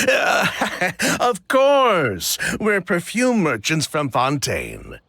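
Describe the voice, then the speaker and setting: An actor playing a shifty, smooth-talking merchant and older brother in an anime game, gravelly and insinuating. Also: male voice, on the high side, pushed and bright, full character voice.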